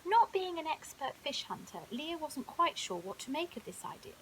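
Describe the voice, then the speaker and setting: A woman reads a scripted children's bedtime story in an expressive, dramatic way. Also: sits high, moderate pace, low-quality recording. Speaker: storyteller, primary school teacher. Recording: audible hiss.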